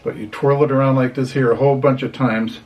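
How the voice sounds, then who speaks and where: A man's voice: medium pitch, fairly even intonation, quick pace, darkish tone, low-quality recording. An older man, a grandfather talking to children in a folksy, rambling, casual storytelling way. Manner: folksy, rambling, often saying "anyway". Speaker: grandfather reading picture books to children.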